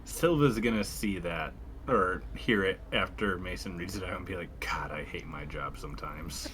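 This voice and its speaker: A man's voice: low-pitched, moderate pace, noisy background. A man playing in a tabletop roleplaying game, describing his character's actions and bantering in character.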